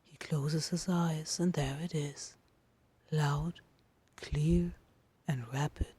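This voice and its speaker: A woman narrating a dramatic fiction reading in a hushed, intimate manner with deliberate phrasing. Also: sits low, steady tone, breathy edge, dry recording. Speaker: podfic narrator.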